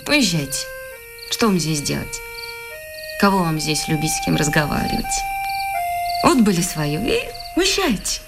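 An actress in a Russian radio drama playing a proud, teasing village farm manager with a wistful streak. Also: female voice, deep voice, sing-song, measured pace, creaky, long pauses, loud music behind the voice, heavily acted. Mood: bitter, wistful.

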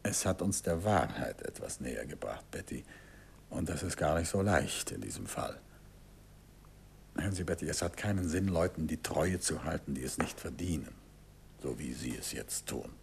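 An actor playing a courteous, persistent middle-aged detective in a 1950s German radio drama, questioning softly and insistently with a husky, gravelly voice. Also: male voice, a bass voice, animated, normal speed, slight background noise, heavily acted. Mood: gently persuasive.

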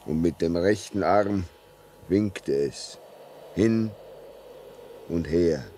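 An actor playing a haunted, subdued railway signalman in a radio play, speaking haltingly. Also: low voice, warm tone. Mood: haunted, grave. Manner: slow, halting, heavy delivery. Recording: noisy radio drama recording with ambience.

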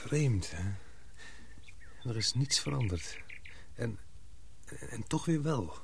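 A man's voice: medium pitch, sing-song, slow pace, vocal fry, slight background noise, heavily acted. A man playing a dutiful young English fiancé in a radio drama, thoughtful and restrained. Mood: tender, reflective.

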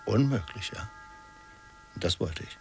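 A calm male painter in a radio drama. His voice is low and his delivery measured, reserved and quietly teasing, with music underneath. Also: gravelly, slight background noise. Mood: calm, enigmatic. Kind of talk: German radio play acting.

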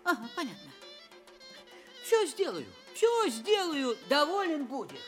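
Lively, cheeky young farmhand. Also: female voice, high voice, unhurried, faint music behind, heavily acted. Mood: innocent, obliging. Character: cheeky young hired farmhand, male, slyly naive. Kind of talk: audio fairy-tale play acting.

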